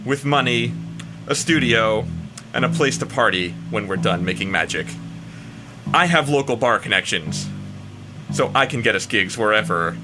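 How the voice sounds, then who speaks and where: A man's voice: lowish voice, expressive, normal speed, pauses often, music in the background, natural voice. A man voicing a boastful heavy metal vocalist in a pompous dramatic reading. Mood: grandiose boasting.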